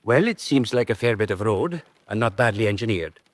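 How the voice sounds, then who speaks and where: A man playing a brisk, officious county road surveyor in a radio drama, formal and businesslike. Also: fairly low pitch, animated, dry recording.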